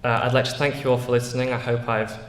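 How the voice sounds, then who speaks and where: A man's voice: medium pitch, flat delivery, fast. A teenage boy from England with a Yorkshire English accent, giving an earnest prepared school speech with small slips and fillers. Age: teens. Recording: echoey hall, long reverb.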